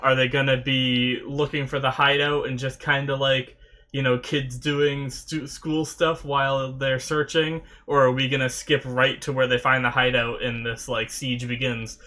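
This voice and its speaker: A man's voice: medium pitch, level tone, a little slow, sharp tone. A man hosting an anime reaction video, chatting casually to viewers while still sniffly and recovering from a sore throat. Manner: rambling, loose, talks with many fillers.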